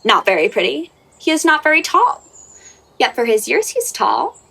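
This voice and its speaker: A woman's voice: high voice, sing-song, normal speed, creaky, pushed and bright, husky, full character voice. A young woman playing a proud, disdainful young shepherdess in a Shakespearean radio play, haughty and petulant. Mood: petulant, smitten. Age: young adult.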